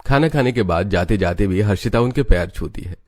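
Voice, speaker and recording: A man's voice: on the low side, expressive, brisk, darkish tone, soft background music. A man narrating a scripted family story in Hindi cartoon style.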